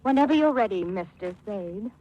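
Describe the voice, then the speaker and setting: Animated, devoted young secretary played by a woman. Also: mid-range voice, normal speed, lightly acted. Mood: fond, eager. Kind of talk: 1940s radio drama acting. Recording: noisy dull vintage radio recording.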